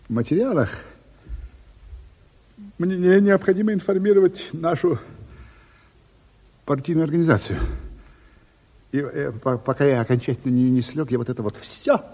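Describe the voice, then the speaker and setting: An actor playing an ailing, fervent émigré intellectual in a Soviet radio drama, husky and halting, agitated and stubbornly insistent. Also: male voice, medium pitch, a little mellow. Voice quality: husky, breathy. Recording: reverberant studio radio play recording.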